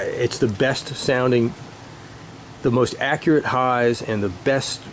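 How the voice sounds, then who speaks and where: A middle-aged man with a fairly low voice talks casually, reminiscing as a keen hobbyist. His phrasing comes in halting, enthusiastic bursts. A faint steady room hum sits behind him. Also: rough recording. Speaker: audio hobbyist presenting own video. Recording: steady room noise, air conditioning hum.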